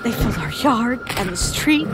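A woman playing a terrified young female survivor in an audio horror drama, recounting events in a halting, haunted way with stammered repetitions. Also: on the high side, very animated, very noisy. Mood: horrified, haunted. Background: music.

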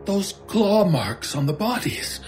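An actor playing a frightened, haunted shopkeeper in a radio horror drama, halting and stammering in distress. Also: male voice, high-pitched, sing-song, darkish tone, faint music behind, heavily acted. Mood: troubled, haunted. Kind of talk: old-time radio horror drama acting.

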